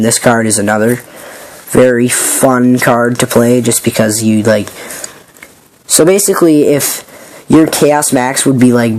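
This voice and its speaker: A man who is sick with a raspy voice, casually walking through his card game deck in a rambling, filler-heavy, apologetic way. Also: mid-range voice, low-quality recording.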